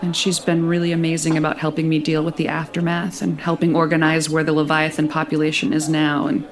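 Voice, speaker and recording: A woman's voice: low voice, monotone, brisk. An actress playing a composed, resolute woman in a naturalistic audio drama, teasing and wry but a little guarded.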